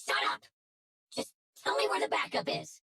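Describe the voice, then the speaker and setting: An actress playing a menacing, smug female hacker in a video game, taunting and commanding, with a breathy, gravelly edge. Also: normal speed, sharp tone, lightly acted. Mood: furious, threatening. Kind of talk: English video game voice acting.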